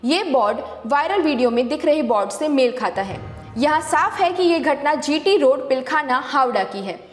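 A woman with a distinctly high voice reads a scripted news explainer. She narrates as a fact-check presenter. The recording is echoey, with a long reverb tail on her words. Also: lively intonation, normal speed, faint music behind.

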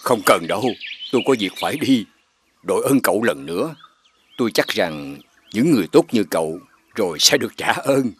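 An actor playing a humble, weary old man in an animated fairy tale, polite and grateful. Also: on the low side, normal speed, a little hiss or hum, natural voice.

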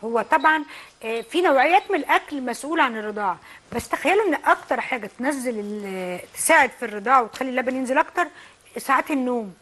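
Egyptian woman nutritionist, high voice, very quick, explains diet tips on a studio lapel mic. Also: animated, fairly bright tone. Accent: Egyptian Arabic. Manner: explanatory, advising. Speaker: clinical nutritionist guest. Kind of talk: advice on a TV cooking show. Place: TV studio kitchen set.

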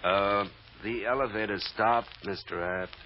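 An actor playing a gruff, no-nonsense police lieutenant in old radio drama style, curt and brisk. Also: male voice, lowish voice, fairly even intonation, close to an everyday voice. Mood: businesslike. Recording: muffled, noisy old radio recording.

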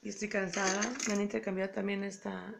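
A woman in her thirties from Peru, chatting casually and softly to her phone, tired, with her voice going. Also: mid-range voice, little pitch movement, fast talker, gravelly, noisy background. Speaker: pilgrim. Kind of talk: casual vlog. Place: hotel room, indoors. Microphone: phone camera at arm's length.

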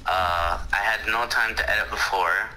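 A man's voice: fairly low pitch, fairly even intonation, moderate pace, background noise. A young man on a phone call, chatting casually with sarcastic, profane remarks. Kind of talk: casual chat.

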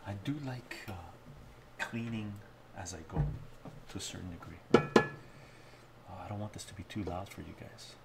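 A man's voice: low-pitched, moderate pace, creaky, pauses often, heavy background noise. A middle-aged man chatting casually to viewers while cooking in his home kitchen, moving toward and away from a fixed camera mic and often asking "right?". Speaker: cooking streamer. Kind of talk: casual live-stream cooking chat. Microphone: fixed camera mic, moves toward and away from it.

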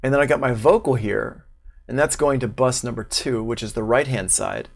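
A man in his thirties giving a casual, unscripted software tutorial as an instructor, thinking aloud as he goes. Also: mid-range voice, moderate pace, vocal fry. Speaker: online music production instructor. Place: small room at home, indoors, livestream.

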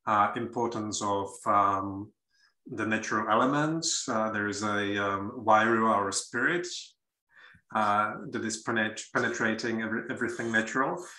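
A man with a Czech accent speaking as a panelist, thoughtful and pausing often to find his words. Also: fairly low pitch, bright, forceful tone, reverberant, poor audio. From Czech Republic. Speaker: panelist, university academic. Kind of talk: measured panel discussion remarks.

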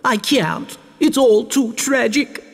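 A man's voice: very high voice, slow pace, vocal fry, fairly bright tone, long reverb tail, pitched above the performer's own voice, full character voice. A man voicing an imperious, plaintive, scheming baroness in a storybook tale. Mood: tragic, plaintive. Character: scheming, melodramatic baroness desperate for an heir. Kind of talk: storybook character voice.

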